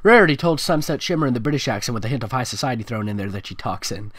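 A man's voice: medium pitch, expressive, rapid-fire, a bit bright. A man reading fan fiction aloud in a mocking, amused way, with sarcastic asides.

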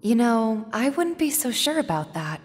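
An actress playing a gentle, caring, quietly hopeful young woman fighter in a video game, soft-spoken. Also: on the high side, very animated, normal speed. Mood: reassuring. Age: young adult. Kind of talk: dramatic video game acting.

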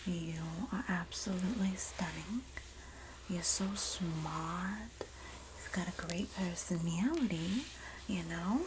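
A woman playing a sassy, supportive Jersey girl in a soft-spoken, whispered ASMR roleplay, with a New Jersey accent and a gossipy, soothing manner. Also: fairly low pitch, noisy background. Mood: soothing, reassuring. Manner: gossipy, flattering, soothing, drawn-out delivery. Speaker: ASMR performer.

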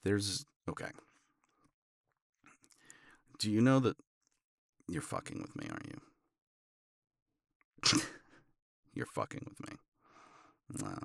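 Male actor for tender, playful older boyfriend, ASMR romance. Very deep, crackling voice, lively intonation, halting intimate murmur. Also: gravelly, no echo, clean studio sound, full character voice. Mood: teasing, soft. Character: tender, teasing older boyfriend the morning after. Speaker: audio roleplay voice actor.

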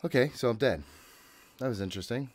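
A man's voice: mid-range voice, fast. A man in his thirties doing casual live commentary on a horror game, talking to himself in a jittery way and swearing often. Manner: jittery self-talk with frequent swearing. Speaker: gaming streamer. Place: small room at home, indoors.

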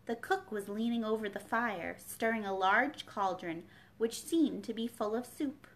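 A woman's voice: on the high side, measured pace. A young adult woman reading a children's novel aloud as a storyteller, recorded in a room at home. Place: small furnished room at home, indoors.

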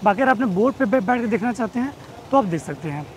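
A man, explaining things as a travel guide to camera, with pauses and English words mixed in. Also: high-pitched, lively intonation. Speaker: tour company host and guide. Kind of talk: informational travel vlog to camera. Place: outdoors on open riverside steps. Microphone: clip-on wireless lapel mic. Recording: noisy outdoor ambience, distant engines.

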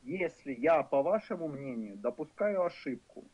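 A man's voice: fairly high pitch, very animated, moderate pace, husky, no room sound. A man in his thirties with a Ukrainian accent, giving a programming lecture in a frank, wry, loosely rambling way with fillers. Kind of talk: conversational lecture. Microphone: voice-over for a screen recording. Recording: dull lo-fi lecture recording.

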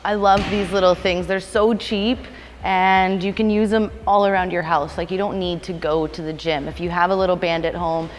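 A woman, chatting informally and brightly as a workout host. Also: lowish voice, fairly even intonation, normal speed, slight background noise. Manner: upbeat, informal, slangy. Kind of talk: casual chat to camera. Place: gym. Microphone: lapel mic. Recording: echoey gym, music behind.

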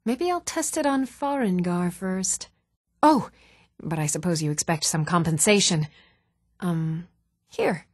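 Measured fantasy townswoman, dry close sound. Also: lowish voice, animated, darkish tone, slightly rough, dry recording. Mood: pleased. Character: even-toned adult townswoman, shopkeeper in a fantasy world. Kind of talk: scripted video game voice acting.

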